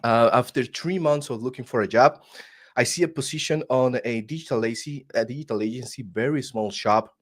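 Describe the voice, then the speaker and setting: A man's voice: medium pitch, a little fast. Costa rican guy, spanish accent, earnest, keeps restarting his words, video call. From Costa Rica. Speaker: guest. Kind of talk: casual interview storytelling.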